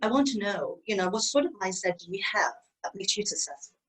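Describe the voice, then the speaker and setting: A woman's voice: medium pitch, big pitch swings, fast, rough recording. A woman hosting a webinar over a video call, posing questions in a chatty way with many fillers. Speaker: webinar host. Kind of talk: moderating questions.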